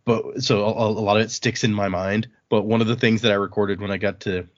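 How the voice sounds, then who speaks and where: A man with a fairly low voice talks informally as a show host discussing a book. He is chatty and tends to digress. The audio is slightly dull and lo-fi. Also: steady tone, fairly quick. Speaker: podcast host.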